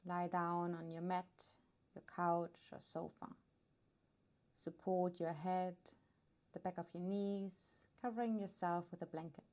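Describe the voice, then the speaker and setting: A woman guiding a meditation as a yoga nidra teacher, soothing and unhurried, leaving long pauses between her phrases. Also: lowish voice, a little mellow. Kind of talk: guided meditation narration.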